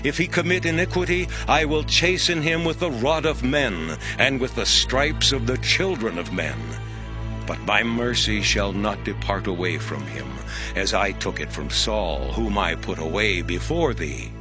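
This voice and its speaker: A man playing a solemn, authoritative prophet in a dramatized audio Bible, with measured, declamatory delivery. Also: lowish voice, lively intonation. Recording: clear music bed behind voice.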